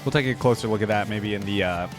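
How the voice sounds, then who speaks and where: A man's voice: fairly low pitch, quick pace. A man hosting a reaction video in a casual, slangy, chatty and enthusiastic way. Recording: music playing behind the voice. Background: music from the videos being watched.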